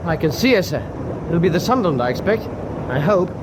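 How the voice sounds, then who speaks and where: An actor playing an eager, deferential junior British naval officer in a wartime radio drama. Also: male voice, fairly high pitch, sing-song, a little fast. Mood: excited, hopeful. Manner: polite, deferential. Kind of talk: full-cast war radio drama acting. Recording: engine and sea noise behind.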